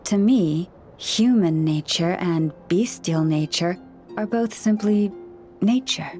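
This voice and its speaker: Game role: actress as wise, gentle friend of beasts, mid-range voice, unhurried and reflective. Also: female voice, mid-range voice, lightly acted. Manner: calm, measured, softly reflective. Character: gentle woman who speaks with animals, wise and serene. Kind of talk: video game voice acting.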